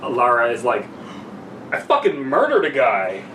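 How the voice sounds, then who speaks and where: A man's voice: mid-range voice, huge pitch swings, measured pace, distant mic. A young man co-hosting a podcast, chatting casually and reading aloud with asides. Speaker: podcast co-host. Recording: echoey room, off-mic, clear background noise.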